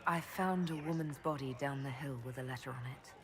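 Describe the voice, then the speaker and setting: An actress playing a resolute elven heroine in a fantasy game, speaking plainly and with firm composure. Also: female voice, extremely low pitch, fairly quick, a crowd in the background. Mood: composed. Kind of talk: video game dialogue acting.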